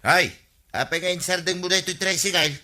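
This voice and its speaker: Jumpy village husband, very bright, slightly high voice, Ilocano accent, flustered comic delivery. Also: male voice, a little fast. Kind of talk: broadcast comedy drama acting.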